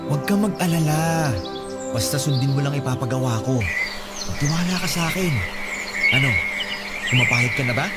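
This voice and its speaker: A man's voice: mid-range voice, normal speed, pauses often, close to an everyday voice. A man voicing a needy young lover who pleads and pushes his partner over the phone. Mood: pleading. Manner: pleading, pushy. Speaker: voice actor in an audio drama. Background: loud background music.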